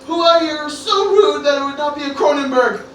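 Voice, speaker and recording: A woman's voice: high-pitched, moderate pace, natural voice. A woman playing an anxious teenage boy who gives an earnest, noble public oration in an amateur radio play. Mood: awkward, defensive. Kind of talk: amateur Shakespeare-parody radio play acting. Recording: very echoey, distant room, faint noise.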